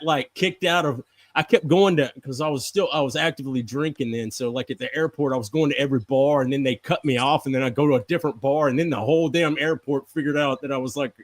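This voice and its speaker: A man's voice: a little high, no echo. A man chatting casually in a group, rambling and slangy, often repeating himself as he goes.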